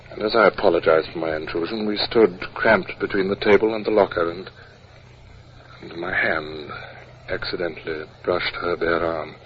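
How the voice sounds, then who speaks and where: Muffled hissy old radio, actor as British sea captain, low voice, formal, commanding, reserved. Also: male voice, close to an everyday voice. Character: stern, reserved British naval captain narrating his adventures. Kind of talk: 1950s radio drama acting and narration.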